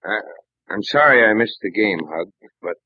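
Sheepish big American slugger, husky, swooping pitch, hesitant, old radio drama. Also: male voice, animated, dry recording. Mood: sheepish, defensive. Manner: hesitant, halting, evasive. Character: sheepish, troubled big-hearted star baseball slugger. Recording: dry, dull old radio recording.

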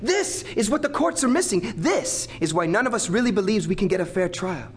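Impassioned Chicano lawyer, sweeping pitch. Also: male voice, expressive, brisk, a little hiss or hum. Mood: fervent. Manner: declamatory, poetic, impassioned. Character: idealistic, brooding Chicano lawyer, a returning prodigal son. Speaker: lead actor and narrator. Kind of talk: theatrical audio drama acting.

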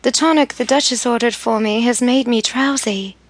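A woman voicing Emily, an anxious, outspoken young woman, in a soft, breathless, higher voice while reading a story. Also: moderate pace, no echo, natural voice.

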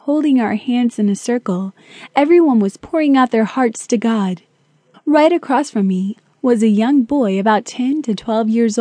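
A woman reads a memoir aloud in a calm, measured way, her voice a little high, mellow and soft-edged. There is a slight breathy huskiness to her tone. The studio sound is dry and close. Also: dry recording. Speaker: audiobook narrator.

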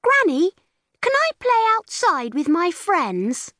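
A woman's voice: very high voice, wildly swooping pitch, slow, a bit bright, dry recording, studio quality, voice pushed up, heavily acted. A woman voicing a plucky little girl in a storybook, polite but firm. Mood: eager.

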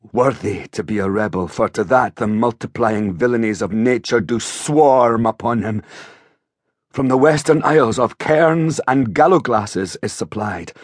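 Man acting a husky, wounded old-world soldier. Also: on the low side, no room sound, clean studio sound. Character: wounded, exhausted soldier, proud battle-worn sergeant. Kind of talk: dramatized Shakespeare verse audiobook.

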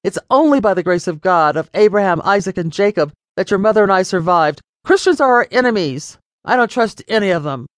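A woman's voice: fairly low pitch, expressive, normal speed, dry recording, studio quality, close to an everyday voice. A woman voicing a furious rich father. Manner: blustering and threatening. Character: angry wealthy father, older man.

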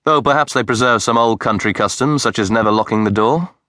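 A male audiobook narrator voicing a calm, patient detective who is faintly smug. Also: medium pitch, a little fast, no echo, studio quality, lightly acted. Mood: dry.

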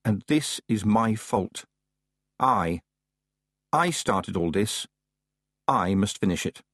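A man's voice: on the low side, animated, slow pace, a bit bright, no room sound, studio quality, exaggerated voice. A man reading as an anxious, earnest teenage girl witch. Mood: anxious, resolute. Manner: earnest inner monologue. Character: thirteen-year-old girl witch, anxious, dutiful, self-doubting.

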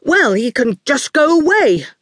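A woman's voice: high-pitched, sing-song, a little slow, fairly bright tone, no echo, clean studio sound, pitched above the performer's own voice, heavily acted. Woman voicing a snappish, indignant schoolboy. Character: big, grumpy schoolboy trying to sound fierce.

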